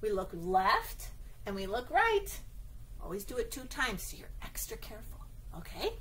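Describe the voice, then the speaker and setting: A woman's voice: mid-range voice, very animated, normal speed, a bit bright. A woman reading a picture book aloud at story time for young children, with sing-song, exaggerated expression and frequent little check-in questions.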